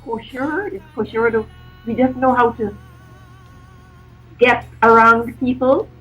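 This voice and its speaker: A woman's voice: a little high, takes it slowly, fairly bright tone, faint music behind, poor audio. An older woman from Guyana, reminiscing as an interview guest in a wistful, trailing way.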